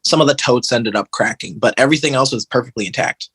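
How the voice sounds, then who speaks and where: A man's voice: mid-range voice, fast talker, a bit bright, slightly rough, no room sound. American guy telling stories, dry close sound. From Michigan, United States. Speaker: podcast guest, metalcore guitarist. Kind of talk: casual conversational storytelling.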